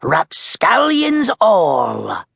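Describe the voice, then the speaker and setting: A woman puts on a blustering storybook pirate captain with a thick stage pirate accent. The voice is rough and gravelly, leaping wildly up and down. The captain bosses and bellows with theatrical relish. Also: on the low side, huge pitch swings, extremely slow, a bit bright, no room sound, studio quality, voice pushed up, full character voice. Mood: menacing. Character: blustering bloodthirsty boy pirate captain, loud and bossy. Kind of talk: children's storybook character voice.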